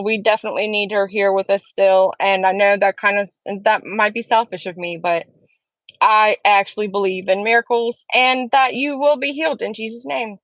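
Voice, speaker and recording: A woman's voice: medium pitch, a bit bright, dry recording, poor audio. A woman hosting a casual live stream, chatting and earnestly answering viewers' comments as she reads them, recorded at home. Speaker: livestream host.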